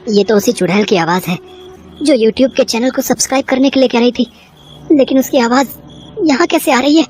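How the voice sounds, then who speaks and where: A woman's voice: fairly high pitch, a little fast, darkish tone, a little hiss or hum, lightly acted. A woman voicing a young man in a horror story, talking to himself in short, repeated, reactive phrases. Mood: shaken, confused. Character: young man, scoffing horror fan turned frightened victim.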